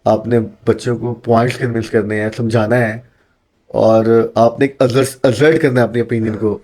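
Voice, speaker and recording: A man's voice: mid-range voice, level tone, normal speed, darkish tone. A man from Pakistan, an accounting teacher, answering interview questions in an earnest, explanatory way and mixing in English phrases.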